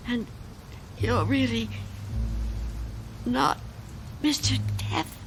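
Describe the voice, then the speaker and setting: An actress playing a frail, frightened, reclusive old woman in a radio drama, wary and stubborn, speaking in a hesitant, halting way. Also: fairly high pitch, slight background noise. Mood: wary, suspicious.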